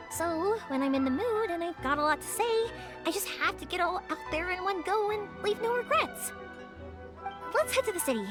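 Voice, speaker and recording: A woman voicing a cheerful, talkative young fireworks maker in a game dub, eager to help everyone. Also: extremely high pitch. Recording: game audio with music behind.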